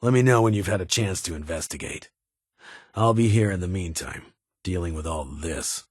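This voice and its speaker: An actor playing a stern, weary security chief in a video game, with a husky voice and a formal, clipped, authoritative manner. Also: male voice, sits low, normal speed, dry recording, studio quality. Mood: formal, businesslike. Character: stern, weary male vault security chief, guarded but honorable.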